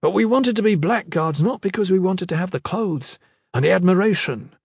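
A man's voice: high-pitched, animated, brisk, creaky, darkish tone, slightly rough, no echo, studio quality, voice pushed up, exaggerated voice. A man voicing an earnest, principled young squad leader in an audiobook story, reasoning firmly and sincerely.

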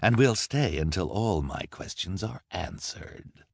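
A man's voice: sits low, expressive, a little slow, gravelly, breathy edge, dry recording, clean studio sound, voice pushed up, heavily acted. A man voicing a greedy, scheming villain who speaks in a smug, cold way.

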